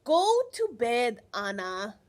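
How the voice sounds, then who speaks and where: Woman reader as a patient, slow-spoken storybook mother. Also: fairly high pitch, big pitch swings. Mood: weary, firm. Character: patient mother gently sending her daughter off.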